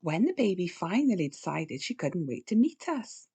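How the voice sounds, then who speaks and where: A woman reading a children's storybook aloud with expressive, deliberate phrasing for young listeners. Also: lowish voice, husky. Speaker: storybook reader. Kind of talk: scripted children's read-aloud narration.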